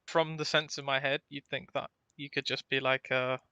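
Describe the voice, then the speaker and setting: A man's voice: medium pitch, little pitch movement, normal speed, pushed and bright, clear, resonant tone, no echo, highs cut off. A man co-hosting a podcast in casual chat, talking in an informal, rambling, anecdotal way. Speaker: podcast co-host.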